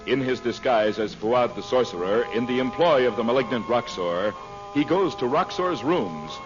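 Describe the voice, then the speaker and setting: A man's voice: medium pitch, animated, normal speed. A man announcing and narrating in a formal, emphatic old-time radio style. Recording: muffled old radio recording. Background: loud music.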